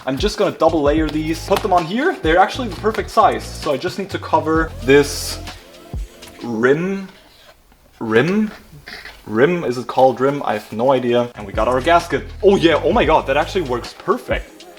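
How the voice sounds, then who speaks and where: A man's voice: fairly high pitch, lively intonation, moderate pace, faint music behind. A man with a German accent, a native German speaker, chatting casually to camera as a car build vlogger who laughs at himself. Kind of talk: casual vlog narration. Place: car workshop.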